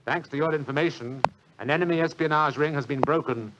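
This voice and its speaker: An actor playing a courteous, assured British government gentleman in measured 1940s radio style. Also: fairly high pitch, highs cut off, lightly acted. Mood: genial, reassuring. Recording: noisy, clicking 1940s radio recording.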